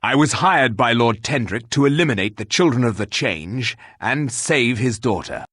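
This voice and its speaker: A man voicing a stern, humorless, gruff hired warrior in a video game, with a husky voice and a curt, scornful way of speaking. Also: mid-range voice, lively intonation, moderate pace, a bit bright, no room sound, clean studio sound. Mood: formal.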